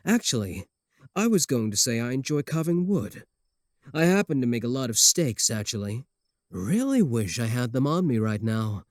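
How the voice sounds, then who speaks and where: A man's voice: mid-range voice, sing-song, moderate pace, a little mellow, dry recording, close to an everyday voice. Cocky, lovesick male werewolf played by a man, close and dry. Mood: boastful wooing. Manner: earnest boasting, dry sarcastic jabs. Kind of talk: comedic ASMR roleplay acting.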